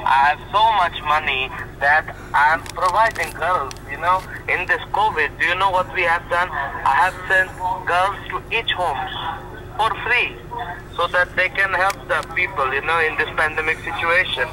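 A man's voice: fairly high pitch, normal speed, natural voice. A man playing a brash, taunting Russian pimp who badgers in blunt, repetitive broken English on a prank call. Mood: boastful. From Russia (claimed in character). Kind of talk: prank phone call banter. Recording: phone on speaker in car, noisy.

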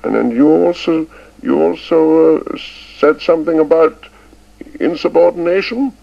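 A man's voice: fairly high pitch, gravelly, voice pushed up. A man voicing an elderly male schoolmaster who stammers and halts, stubborn and fond of the old ways. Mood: bewildered. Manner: stammering, halting with many uhs. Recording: dull lo-fi recording.

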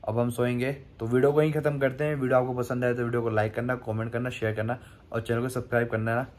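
A man's voice: mid-range voice, little pitch movement, fast. A young man in his twenties hosting a casual travel vlog, chatting to viewers from his phone with a slightly sore throat. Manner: chatty, addresses viewers directly. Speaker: vlogger host. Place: outdoors by a loud rushing river and in hotel rooms. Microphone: phone held at arm's length. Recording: phone video with loud river noise.

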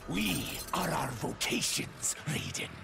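An actor voicing a menacing, greedy demonic minion with a raspy voice in a fighting game. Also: male voice, mid-range voice, very animated, slow pace, full character voice. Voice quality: gravelly, raspy. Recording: loud game music and effects behind.

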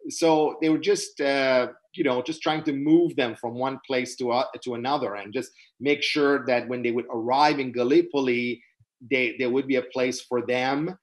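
A middle-aged man whose first language is Persian, giving an unscripted webinar lecture in a halting, earnest way with many restarts, recorded on a video call from a small room. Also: mid-range voice, steady tone, low-quality recording. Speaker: webinar presenter. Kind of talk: unscripted lecture answering questions. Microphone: webcam on a video call.

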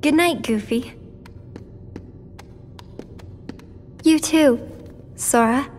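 An actress playing a gentle, wistful, reassuring teenage girl with a soft voice in a video game. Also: sits high, measured pace, soft background music. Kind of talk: video game cutscene acting.

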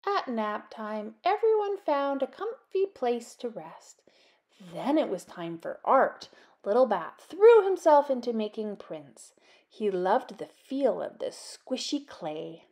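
Lady doing storytime for little kids, really animated, takes her time. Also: fairly high pitch. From Canada. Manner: expressive, deliberate. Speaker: children's storyteller. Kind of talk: animated storybook read-aloud.

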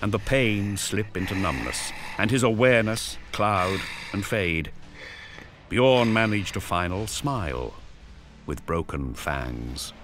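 A man's voice: sits low, lively intonation, slow pace, noisy background. A man narrating an audio drama in an intense, solemn, theatrical storytelling style. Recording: loud music and battle effects behind. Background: loud music, battle sound effects.

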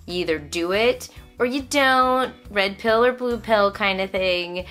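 A woman in her thirties or forties in casual, animated conversation to camera, reviewing things and breaking off her own sentences, recorded in a room at home. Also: mid-range voice, unhurried, soft background music. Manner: animated, conversational, self-interrupting. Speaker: vlogger and homeschooling mother. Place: small furnished room at home, indoors.

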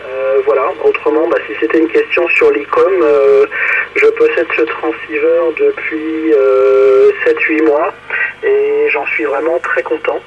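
A man's voice: fairly high pitch, big pitch swings, measured pace. A man chatting casually as an amateur radio operator over a two-way radio, hesitating as he searches for words. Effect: two-way radio transmission. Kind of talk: casual radio contact chat, passing turns. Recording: dull radio signal with clear static noise.

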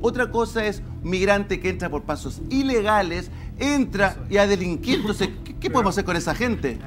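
A man's voice: high-pitched, expressive, moderate pace. A man in his fifties with a Chilean accent, moderating a TV debate and repeating words to cut in. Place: TV studio, standing. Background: music under the talk.

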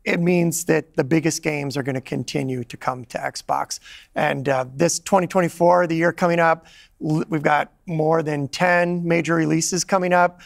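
Gravelly man, eager and halting. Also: on the high side, fairly even intonation, no room sound, studio quality. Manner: enthusiastic, with halting restarts. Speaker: gaming company executive. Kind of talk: podcast interview answers. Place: studio set.

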